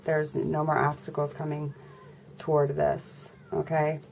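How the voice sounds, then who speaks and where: A congested woman giving a casual tarot reading to her viewers in a flat, monotone way. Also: measured pace, vocal fry. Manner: monotone, low-energy delivery. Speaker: tarot reader. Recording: noisy recording with birds chirping. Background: birds and background noise.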